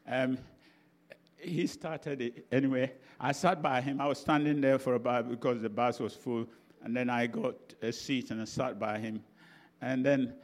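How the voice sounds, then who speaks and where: Older man, ghanaian accent, chatty sermon story, easy going, lots of restarts. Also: medium pitch. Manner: chatty anecdotal preaching with restarts and laughs. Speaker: preacher.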